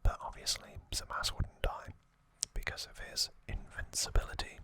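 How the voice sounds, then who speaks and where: A man giving an ASMR recap in a breathy, unvoiced whisper, speaking softly in short, deliberate phrases. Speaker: ASMR channel host.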